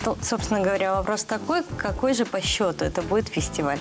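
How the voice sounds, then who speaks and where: A woman in her thirties explaining things as a guest in a studio interview, in a halting, pause-filled delivery. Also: mid-range voice, animated, music in the background. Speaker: guest, animation festival organizer. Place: TV studio, seated. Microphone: lapel mic.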